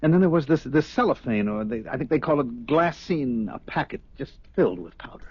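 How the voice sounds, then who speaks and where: An actor playing a distraught, respectable middle-aged husband in a 1970s radio drama, husky, agitated and bitter. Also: male voice, medium pitch, expressive, brisk, a little mellow, no echo, dull audio. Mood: agitated, baffled.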